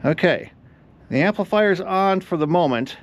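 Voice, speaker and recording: A man's voice: high voice, lively intonation, moderate pace. A man giving a casual hands-on repair walkthrough, explaining each step aloud as he works. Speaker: host of an electronics repair channel.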